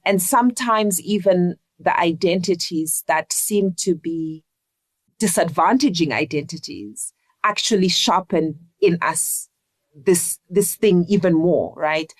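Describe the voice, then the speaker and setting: A woman from Zimbabwe, speaking earnestly and deliberately as a reflective interview guest. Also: fairly low pitch, animated, no room sound. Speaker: interview guest, leadership coach.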